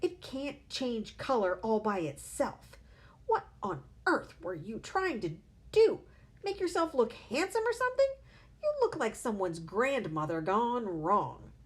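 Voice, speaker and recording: A woman voicing a loud, scornful mother who shrieks at her husband in a storybook reading. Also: on the high side, sing-song, unhurried. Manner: shrieking, stammering with outrage. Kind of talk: read-aloud storybook acting.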